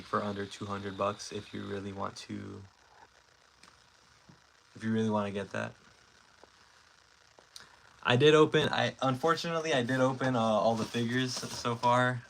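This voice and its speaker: Gravelly young man rambling on a livestream. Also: lowish voice, sharp tone, poor audio. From Mexico. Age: 20s. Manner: rambling, filler-heavy, self-correcting. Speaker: stop-motion creator hosting a livestream. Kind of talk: casual livestream chat, reading comments.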